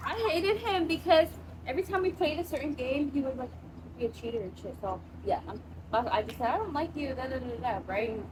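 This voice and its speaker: A young woman from Samoa tells her story in an animated, casual way, swears freely and acts out the voices of others. Her voice sits a little high. Steady noise hangs behind her in the room. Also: fairly quick. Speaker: guest.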